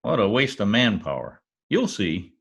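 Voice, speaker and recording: A man acts out a wisecracking detective in a radio play. His voice sits slightly low and swings widely in pitch, glib and easygoing in its banter. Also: very animated, a little slow, creaky, fairly bright tone, slightly rough, exaggerated voice. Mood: flippant. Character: breezy wisecracking male private detective. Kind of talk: old-time radio detective drama acting.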